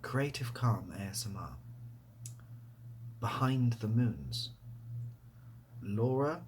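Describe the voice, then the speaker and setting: A man speaking softly in a casual ASMR style, breathy and often dropping to a whisper, rambling hesitantly with a self-deprecating manner. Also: medium pitch, animated, slow, a little hiss or hum, poor audio. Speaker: ASMR video creator and host. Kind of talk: soft-spoken ASMR, casual question-and-answer to camera.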